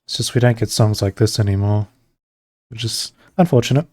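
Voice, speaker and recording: Casting note: laid-back male streamer, lowish voice, dry close sound, easy rambling chat. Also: no room sound. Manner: relaxed, rambling, talks to chat between pauses. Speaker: streamer drawing live. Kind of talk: casual chat while drawing.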